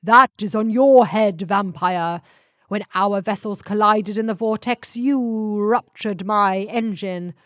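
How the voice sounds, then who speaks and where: Woman acting as male living alien spaceship, needy and menacing, slow emphatic drawl, dry sound, sci-fi story. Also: mid-range voice, fairly bright tone, no room sound, highs cut off.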